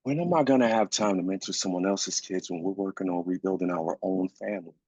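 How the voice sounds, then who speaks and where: A man's voice: low-pitched, a little fast, darkish tone, breathy edge, no room sound. A man playing a weary, grieving husband and father in a screenplay reading, insistent yet supportive. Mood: reluctant. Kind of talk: live staged screenplay reading. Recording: dull, lo-fi sound.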